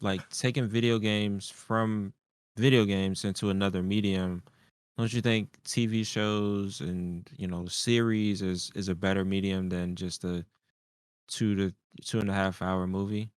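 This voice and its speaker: A man's voice: deep voice, flat delivery, a little slow, clear, resonant tone, dry recording, clean studio sound. A man talking casually on a discussion panel, posing questions and opinions. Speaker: podcast panelist. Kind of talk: casual chat.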